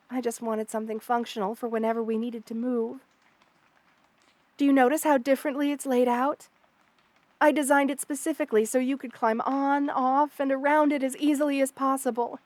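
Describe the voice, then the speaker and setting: A woman voicing a kind, motherly spider queen in an ASMR roleplay, soft-spoken, doting and reassuring as she speaks to the listener. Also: high-pitched, no room sound, lightly acted. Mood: fond, excited. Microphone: close studio mic, dry.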